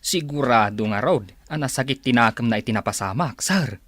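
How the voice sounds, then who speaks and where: A man's voice: mid-range voice, expressive, a little fast, a bit bright, dry recording. A man acting in a radio drama as a polite, eager assistant reporting to his boss. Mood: careful. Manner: polite, deferential.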